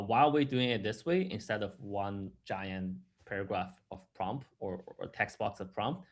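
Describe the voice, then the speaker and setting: Halting, low-voiced man presenting software. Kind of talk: live product demo, explanatory walkthrough.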